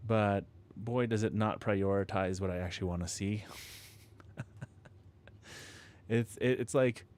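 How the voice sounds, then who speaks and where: Low-voiced man chatting on a live stream. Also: steady tone, a little slow, darkish tone. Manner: rambling, many restarts and fillers, chuckles often. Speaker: livestream host building model kits. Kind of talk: casual live-stream chat to viewers. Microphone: close studio microphone with proximity effect.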